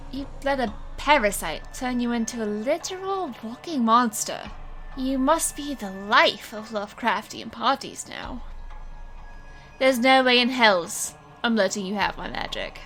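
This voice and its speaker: A woman's voice: a little high, lively intonation, unhurried, fairly bright tone, faint music behind. A woman playing a witty, defiant young stage magician, sarcastic and theatrical, narrating and acting in an audio drama. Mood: sarcastic defiance.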